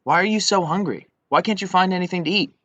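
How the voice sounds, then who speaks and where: A man's voice: high-pitched, quick pace, pushed and bright, no room sound, pitched above the performer's own voice. Guy doing a sweet helpful girl giraffe for a kids story, caring, kinda lo-fi. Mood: concerned. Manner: caring, questioning storybook delivery. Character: kind, smart female giraffe eager to help. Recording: dull lo-fi recording.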